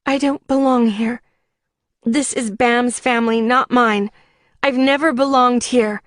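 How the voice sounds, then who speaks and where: A woman's voice: on the high side, fairly even intonation, a little slow, no room sound, studio quality, close to an everyday voice. A woman voicing a weary, insecure young woman in an audiobook, stubborn, bitter and defiant. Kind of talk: audiobook character dialogue.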